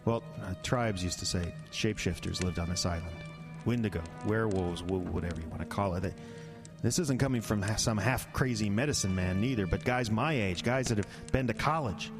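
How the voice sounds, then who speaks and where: A man's voice: fairly low pitch, brisk, music in the background, lightly acted. An actor playing a defensive, evasive middle-aged man in an audio drama, stammering and dismissive. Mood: uneasy storytelling.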